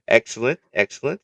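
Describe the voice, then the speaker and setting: A man's voice: fairly high pitch, vocal fry, fairly bright tone, no room sound. A middle-aged man hosting a casual interview, joking and self-mocking with frequent laughs. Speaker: interview host.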